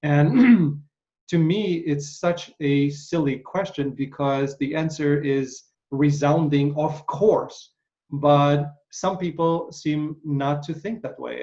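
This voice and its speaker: Throat-clearing male host, lo-fi sound. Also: mid-range voice. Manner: warm welcoming, rambling with fillers. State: losing his voice, clearing throat. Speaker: interview host. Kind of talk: casual interview chat. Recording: dull lo-fi sound.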